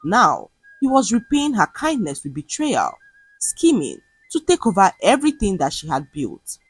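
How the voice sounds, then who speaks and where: Husky woman storyteller with lively, swooping intonation. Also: medium pitch, normal speed, no echo, faint music behind, poor audio. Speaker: storyteller, narrator of folktales. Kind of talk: scripted dramatic story narration.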